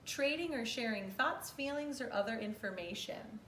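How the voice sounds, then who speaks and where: A woman's voice: a little high, animated, normal speed, a bit bright. A young woman teacher giving an instructive read-aloud lesson for young children, explaining step by step in a living room. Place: small living room corner indoors. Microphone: camera a few metres away. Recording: echoey room with clear background noise.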